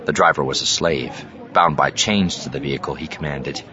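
A man's voice: a bass voice, moderate pace, a crowd in the background. A man reading science fiction as a dramatic, scripted audiobook narration. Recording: slightly dull studio track over ambient effects.

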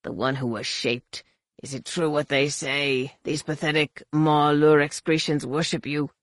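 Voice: medium pitch, flat delivery, brisk, dry recording, studio quality, natural voice. Narrator acting a snarling, sneering alien war leader. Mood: contemptuous. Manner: sneering, menacing, barked commands.

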